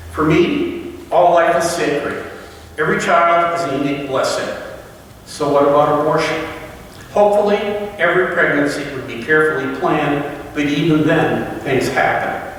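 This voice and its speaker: A man's voice: on the high side, a bit bright, a little hiss or hum. Man speaking from far off in an echoey hall. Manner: halting, searching for words, many restarts. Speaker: fellowship member giving a talk. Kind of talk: personal reflective talk.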